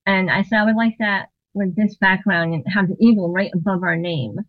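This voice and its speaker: A woman telling a long story in a casual interview, animated, acting out what people said and laughing often. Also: medium pitch, brisk, no room sound, narrow, tinny audio. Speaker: interview guest, drummer and singer.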